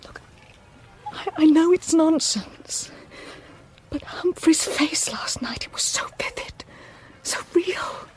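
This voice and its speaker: A woman acting a frightened, haunted wife in an audio drama, speaking intensely and haltingly, often close to a whisper. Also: extremely high pitch, poor audio. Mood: haunted, fearful. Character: frightened, haunted wife, fixated on a phantom boat.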